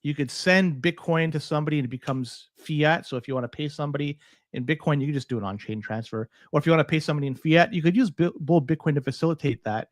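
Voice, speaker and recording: A man's voice: fairly high pitch, fast, no echo, studio quality. A man hosting a podcast, chatting casually and reading sponsor spots in an upbeat, salesy way. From Canada. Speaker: podcast host. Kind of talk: casual podcast talk and live sponsor reads.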